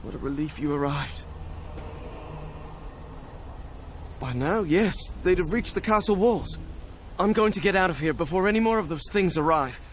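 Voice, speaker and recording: A man's voice: sits high, lively intonation, a little fast, slight background noise. A man playing an anxious, dutiful castle messenger in a fantasy video game cutscene. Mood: shaken.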